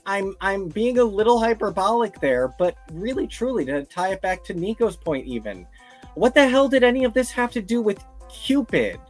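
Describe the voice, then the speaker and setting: A co-host in a casual review chat, giving an exasperated, emphatic critique. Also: medium pitch. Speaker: podcast co-host.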